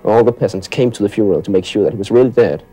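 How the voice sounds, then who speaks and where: A man's voice: lowish voice, rapid-fire, warm tone, soft background music. A man informally telling a local anecdote in a conversational way.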